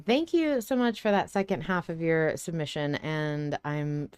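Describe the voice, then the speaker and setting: A woman hosts a casual podcast discussion in a clean, resonant voice with very little room sound. She talks in an animated way, breaking off and restarting phrases and repeating words for emphasis. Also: sits low, measured pace, clean tone, no echo. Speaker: podcast host.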